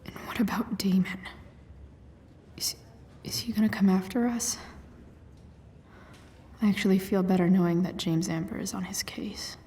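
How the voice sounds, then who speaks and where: A woman playing a wry, sweary, guarded but caring teenage girl in a video game, muttering sardonically in breathy asides. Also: medium pitch, normal speed, long pauses, warm tone, slight background noise, lightly acted. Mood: worried. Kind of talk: naturalistic video game voice acting.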